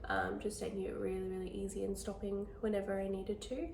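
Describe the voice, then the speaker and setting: A young woman with a hoarse, croaky voice from illness, chatting casually in a video diary, tired but trying to stay upbeat. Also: flat delivery, fairly quick, darkish tone, slight background noise. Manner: tired, low-key, upbeat despite illness. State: congested, chesty cough. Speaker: vlogger.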